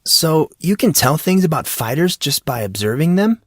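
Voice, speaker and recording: A man's voice: a little high, big pitch swings, normal speed, a bit bright, dry recording, studio quality, voice pushed up. A man voicing a curious, hesitant and wry fourteen-year-old boy in an audiobook. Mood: curious, probing. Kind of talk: audiobook character dialogue.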